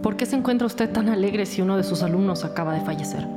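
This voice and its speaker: A woman's voice: medium pitch, fast, over loud music, close to an everyday voice. A woman playing a blunt, commanding lead police detective in a crime audio drama, speaking formally. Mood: formal, probing.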